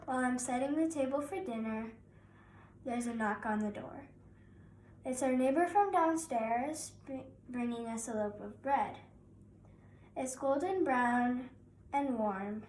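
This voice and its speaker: A woman's voice: high-pitched, takes it slowly, a little mellow, distant mic. A young girl of about nine reading a children's picture book aloud as narrator. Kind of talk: scripted children's story narration. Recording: roomy echo, faint background noise.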